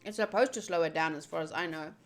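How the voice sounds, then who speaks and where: A woman's voice: low-pitched, fast talker, faint music behind. A woman livestreaming a visual novel playthrough, reading game text aloud and adding playful, chatty asides. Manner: playful, chatty, self-interrupting. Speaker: streamer playing a visual novel.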